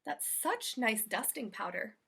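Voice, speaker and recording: Woman voicing a chirpy, high-pitched, guileless housemaid. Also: very animated, unhurried, gravelly, a bit bright, slightly rough, exaggerated voice. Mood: proudly helpful. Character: cheerful, literal-minded, eager-to-please housemaid woman. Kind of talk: children's storybook character voice.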